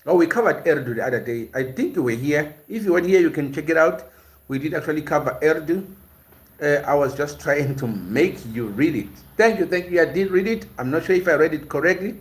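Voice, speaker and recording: Male host, slightly high voice, unscripted live lecture, stop-start delivery with many fillers. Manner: explanatory, hesitant, with frequent "uh" and repeated words. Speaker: host of a language and culture series. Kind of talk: live-stream lecture, reading chat and answering viewers.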